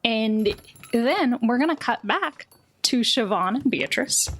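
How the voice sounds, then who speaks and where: A woman with a fairly high voice acts as game master for a tabletop roleplaying game. She tells the story in a loose, conversational way, as if chatting with the players. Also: animated, takes it slowly.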